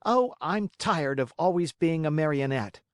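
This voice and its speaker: A man voicing a whiny, eager marionette boy in a storybook, with a high childlike voice and pleading, dramatic delivery. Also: big pitch swings, unhurried, no room sound, clean studio sound, exaggerated voice. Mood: disgusted, impatient. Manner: pleading, emphatic, exclaiming. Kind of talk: audiobook character acting.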